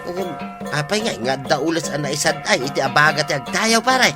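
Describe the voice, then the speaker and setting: A man's voice: on the high side, animated, moderate pace, over music, close to an everyday voice. A man playing a good-natured but fretful village buddy in an Ilocano radio comedy, exclaiming with concern in an Ilocano accent. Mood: chatty, excited.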